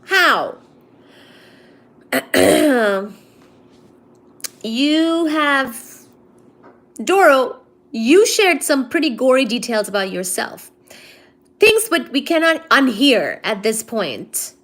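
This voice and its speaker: Chatty woman host, slightly high voice. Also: big pitch swings, very slow, long pauses, a bit bright. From India. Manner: chatty, teasing, reads chat aloud and answers it. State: low-energy today. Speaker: livestream host. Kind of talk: casual live-stream chat with viewers.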